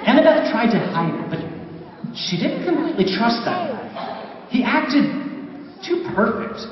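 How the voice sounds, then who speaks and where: Male author-narrator, expressive and swooping read, dull noisy far-off hall recording. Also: very high voice, unhurried, a crowd in the background. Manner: animated, dramatic storytelling delivery. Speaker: author reading aloud. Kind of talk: live expressive audiobook-style narration of a story. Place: large reverberant room, recorded from a distance.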